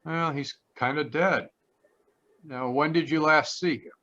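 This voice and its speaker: Man playing a bright-voiced wry radio detective, lo-fi. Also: fairly high pitch, unhurried. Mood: deadpan, wry. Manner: deadpan, wisecracking. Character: wry, blunt male private detective, narrating and questioning. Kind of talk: 1940s radio detective acting. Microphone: video call. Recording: dull lo-fi video call audio.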